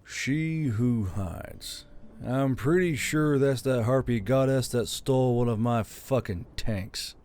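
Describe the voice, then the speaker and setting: A man's voice: medium pitch, slow pace, a little mellow, lightly acted. A man voicing a weary, grumbly soldier who speaks tersely, for an audiobook drama. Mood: angry. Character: tired, grumbly, gruff soldier called Yul. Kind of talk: audiobook character acting.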